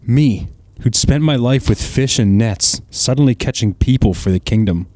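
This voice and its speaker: A man's voice: medium pitch, normal speed, dark tone, no room sound, close to an everyday voice. A man playing a humbled, guilt-ridden fisherman disciple in an audio drama, speaking earnestly and with self-reproach. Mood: wistful.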